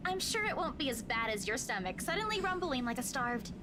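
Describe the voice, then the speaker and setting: A woman's voice: sits high, big pitch swings, fairly quick, background noise. A woman playing a feisty young woman, teasing and indignant, in a visual novel game. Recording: game music behind the voice.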